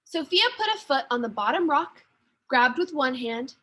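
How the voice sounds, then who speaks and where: High, animated woman reading a storybook. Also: moderate pace. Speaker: second grade teacher reading aloud. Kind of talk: expressive children's story narration.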